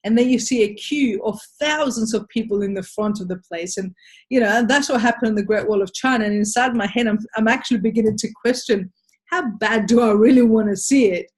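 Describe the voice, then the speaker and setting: A woman's voice: on the high side, fairly quick. An Australian woman giving a sales presentation in a webinar, upbeat and persuasive, speaking to a webcam from a home office.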